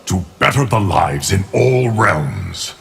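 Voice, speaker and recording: A steadfast, stern guardian from a video game, speaking solemnly. His voice is low and slow. It carries a long reverb amid loud noise. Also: animated, creaky, slightly rough, exaggerated voice. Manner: solemn, measured. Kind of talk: video game character dialogue.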